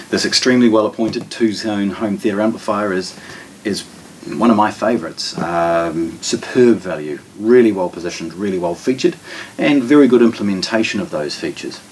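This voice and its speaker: A New Zealand man with a fairly low voice demonstrates a product in measured, fragmented phrases. He sounds a little way from the microphone, in an indoor room. Clear background noise sits behind his matter-of-fact delivery. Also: distant mic, low-quality recording. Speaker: shop presenter of product unboxings. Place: carpeted indoor showroom room with shelves.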